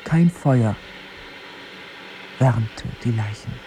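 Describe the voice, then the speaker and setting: A man's voice: mid-range voice, lively intonation, drawn-out words, mellow, slight background noise. A man reciting a poem in short, sparse phrases. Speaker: poetry reader. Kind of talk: very slow, sparse poetry recitation.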